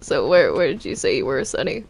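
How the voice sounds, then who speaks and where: A woman's voice: low voice, big pitch swings, brisk, gravelly, a little mellow, a little hiss or hum. A young woman playing a character in a game roleplay, talking casually and stammering when flustered. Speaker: roleplay player.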